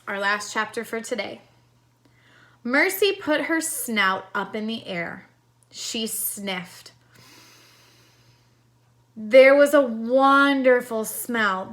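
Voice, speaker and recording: Slow, bright-voiced woman reading to children. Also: on the high side. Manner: deliberate, explains pictures to young listeners. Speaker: storytime reader, teacher. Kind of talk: children's read-aloud with picture asides.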